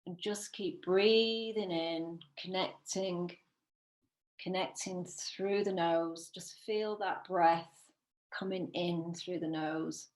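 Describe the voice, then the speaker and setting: Slow-paced woman guiding meditation in roomy echo. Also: on the low side, fairly even intonation. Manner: soothing, unhurried, with long pauses. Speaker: meditation teacher. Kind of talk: guided visualisation, spoken meditation. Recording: roomy, echoing sound.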